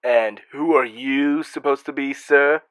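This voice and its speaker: A man's voice: mid-range voice, measured pace, fairly bright tone, clear, resonant tone, no room sound. A man hosting a scripted comedy segment and reading out listener messages in a sarcastic, snide manner.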